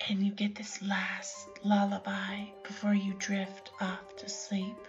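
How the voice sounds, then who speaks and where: A woman guiding a bedtime sleep story for children, talking softly and soothingly, often in a whisper. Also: medium pitch, completely flat delivery, slow. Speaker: bedtime meditation guide. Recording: slightly dull recording with lullaby music.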